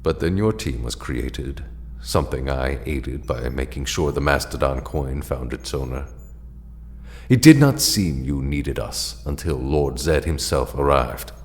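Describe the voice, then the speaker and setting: Man playing a very deep, solemn ancient warrior ally. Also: animated, big-room sound, heavily acted. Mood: solemn, measured. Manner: formal, measured, deliberate. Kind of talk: audio drama acting.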